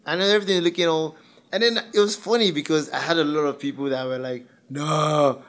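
A man's voice: a little high, steady tone, fairly quick, fairly bright tone. Teasing man, podcast chat. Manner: teasing, jokey. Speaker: podcast co-host. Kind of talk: casual chat.

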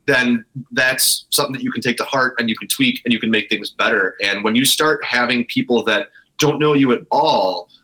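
A man's voice: medium pitch, moderate pace, bright, forceful tone, rough recording. A man speaking as an interview guest, telling the story of his business in a casual, conversational way. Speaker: interview guest, brewery co-founder.

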